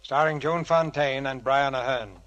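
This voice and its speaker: A man narrating a 1940s radio drama as host, in a measured, dramatic, scripted style. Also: medium pitch, lo-fi sound. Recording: old radio broadcast, music behind.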